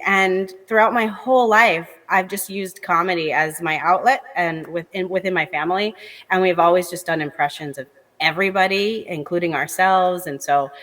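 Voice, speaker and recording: An American woman comedian, a guest on a talk show, chatting in a lively, candid way with quick asides. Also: on the low side, big-room sound. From United States. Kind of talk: casual interview chat.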